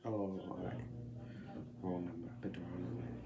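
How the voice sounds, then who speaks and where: A man's voice: low voice, little pitch movement, normal speed. A young man chatting casually to camera as a prank call vlogger, slangy and profane, often repeating his phrases. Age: young adult. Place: small indoor room at home. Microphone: camera mic a short distance away. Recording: faint room noise.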